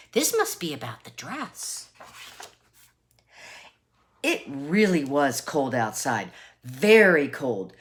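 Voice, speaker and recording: A woman reading a children's picture book aloud expressively, deliberate and emphatic, with asides to listeners. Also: fairly high pitch. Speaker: read-aloud storyteller.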